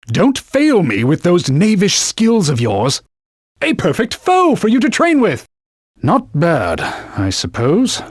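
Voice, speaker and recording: Talking sword, game mentor: stern, pompous, archaic scolding, highly animated delivery, raspy edge, very dry studio sound. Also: male voice, slow, no room sound, full character voice. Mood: haughty, grudging. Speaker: video game companion guide. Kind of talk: video game voice acting, short combat callouts.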